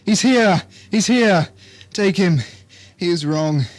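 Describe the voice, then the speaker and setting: A man's voice: high-pitched, animated, takes it slowly, no echo. A man playing a drugged, confused and fanatically devoted commissioner in an audio drama, agitated and fragmented in delivery. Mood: frantic, devoted.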